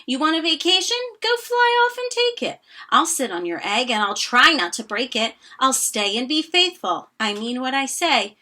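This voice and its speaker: A woman voicing a gentle, faithful, huge male elephant in a children's storybook, speaking emphatically and deliberately. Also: high-pitched, sing-song, exaggerated voice. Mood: earnest, careful. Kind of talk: expressive children's storybook read-aloud.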